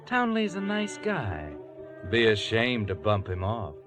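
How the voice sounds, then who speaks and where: An actor playing a sly, taunting narrator who speaks like a guilty conscience in a 1940s radio thriller. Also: male voice, lowish voice, huge pitch swings, unhurried. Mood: taunting, ominous. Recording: vintage radio broadcast. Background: dramatic music.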